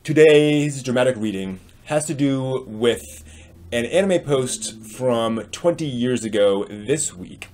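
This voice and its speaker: A middle-aged man hosting and giving a dramatic reading with commentary, using expressive, theatrical emphasis. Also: medium pitch. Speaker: host and reader. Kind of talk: dramatic reading of old online posts with explanatory commentary. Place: small home room with bookshelves, indoors.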